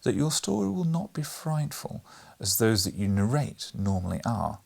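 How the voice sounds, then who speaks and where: A narrator voicing a serious, concerned mother from a storybook, formal and gently reproving. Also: medium pitch, big pitch swings, moderate pace, vocal fry, husky, exaggerated voice. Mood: gentle.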